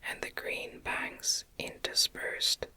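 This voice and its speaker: A woman reading a gothic novel aloud in a gentle, breathy ASMR whisper, with careful phrasing, close to the microphone. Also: takes it slowly. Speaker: audiobook reader.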